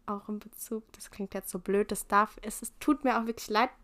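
A woman's voice: medium pitch, steady tone, fairly quick. Young woman talking candidly. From Germany. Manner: candid, thoughtful, with soft laughs. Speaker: interview guest. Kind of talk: casual conversation.

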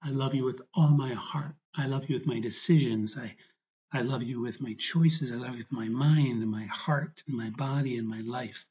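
A man's voice: mid-range voice, steady tone, a little mellow, slight background noise. Dull-sounding recording of a reverent man praying. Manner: reverent, earnest, unhurried phrases with pauses. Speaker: priest guiding meditation. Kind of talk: guided prayer, spoken meditation and gospel reading.

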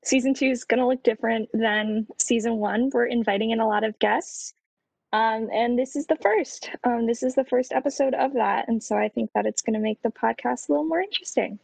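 Young woman with a gravelly, fry-laden voice. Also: fairly high pitch, darkish tone, no room sound, low-quality recording. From Romania, raised in the United States. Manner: measured, frequent ums. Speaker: podcast co-host. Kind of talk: casual podcast chat.

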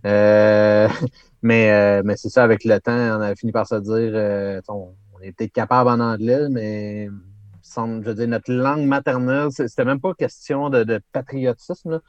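Chatty Québécois musician, dry muffled sound. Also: male voice, fairly low pitch, little pitch movement, normal speed, dry recording. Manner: chatty, laughing, many fillers. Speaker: musician guest. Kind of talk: casual interview.

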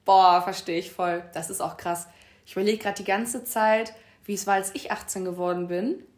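A woman's voice: mid-range voice, fairly even intonation, normal speed. Late-20s woman chatting on a podcast, thoughtful, laughy, echoey room. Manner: reflective, talkative, laughs easily. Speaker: podcast co-host. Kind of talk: casual chat. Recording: roomy echo.

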